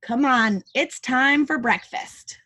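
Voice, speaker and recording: A woman voicing an eager, playful male friend in a children's storybook, coaxing and excitable. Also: high-pitched, takes it slowly, full character voice.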